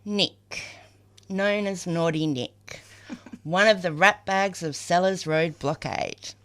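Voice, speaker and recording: A woman's voice: lowish voice, slow, a bit bright. An Australian woman reading scripted tributes as a podcast reader.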